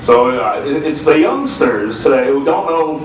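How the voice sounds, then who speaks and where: A man's voice: mid-range voice, lively intonation, measured pace, faint music behind. A radio host, a man, talking informally and wryly on a panel. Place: convention room, informal video recording. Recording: echoey room, distant mic, clear background noise.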